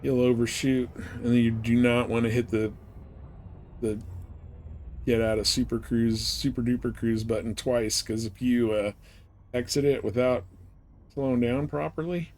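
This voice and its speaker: A man talks casually through his live gameplay in an informal, slangy way. His voice sits at an average pitch, with game ambience audible behind it. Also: fairly even intonation, a little slow, a little mellow, slight background noise. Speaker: live streamer playing a space flight game.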